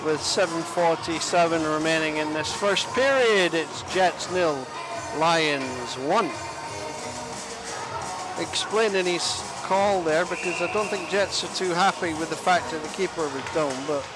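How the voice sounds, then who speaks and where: A man giving live play-by-play commentary on an ice hockey game, chatty and self-deprecating, sometimes pausing to find his words. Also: on the high side, crowd noise, low-quality recording. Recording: music clearly audible behind the voice. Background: music.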